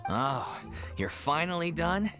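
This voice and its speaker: A woman's voice: deep voice, sing-song, slow, heavily acted. A woman playing a breezy, bossy theatre colleague in an anime game, casual and teasing. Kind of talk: anime video game voice acting. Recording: music playing behind.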